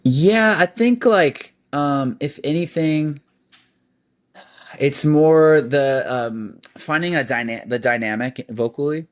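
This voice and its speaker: A man's voice: fairly high pitch, takes it slowly. A man, a band's singer, chatting casually as a podcast guest, rambling along with lots of "like" and "um". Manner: relaxed and rambling, with frequent "like" and "um". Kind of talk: casual interview chat.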